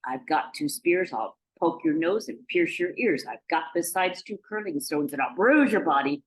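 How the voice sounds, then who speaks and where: A woman voicing the biggest billy goat of a storybook tale, a fearless male with a gruff, defiant delivery. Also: deep voice, animated, moderate pace, low-quality recording. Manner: bold, defiant, declaiming.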